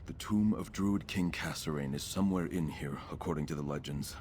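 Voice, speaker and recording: Solemn fantasy quest companion, low voice, earnest, formal, measured, faint background noise. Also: male voice, darkish tone. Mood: grave, earnest. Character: solemn, resolute male ally on a fantasy rescue quest. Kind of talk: scripted video game dialogue.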